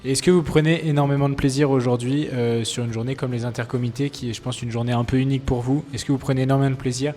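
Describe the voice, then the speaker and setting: A man conducts an on-site interview in a polite, slightly halting way. His voice sits at a medium pitch. The hall around him rings with reverb, and music plays faintly behind. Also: fairly even intonation, mellow.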